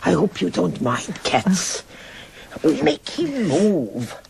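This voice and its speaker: Radio drama: imperious eccentric old countess, German accent, lowish voice, wide swooping intonation. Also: female voice, very animated, very slow, gravelly, a crowd in the background, exaggerated voice. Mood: blunt, eccentric. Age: older. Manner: blunt, grumbling, rambling.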